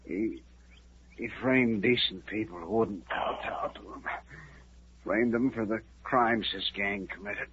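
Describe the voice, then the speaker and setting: An actor playing a gravely wounded settler, weak and fading, speaking in halting, breathless broken phrases. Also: male voice, lowish voice, a bit bright. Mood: dying. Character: gravely wounded male settler, frightened and urgent. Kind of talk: 1950s radio drama acting. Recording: muffled, hissy 1950s radio broadcast.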